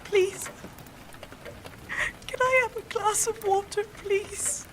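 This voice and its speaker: A young woman playing a fragile, distraught, erratic young actress in a naturalistic audio drama, speaking in a halting, breathy, agitated way. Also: very high voice, steady tone, background noise. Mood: panicked, pleading. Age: young adult.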